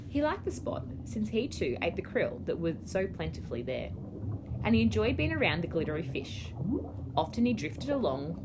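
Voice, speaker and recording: Australian woman, slightly low pitch, very lively intonation, children's storybook narration, teacher reading aloud, clear background noise. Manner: expressive, storytelling.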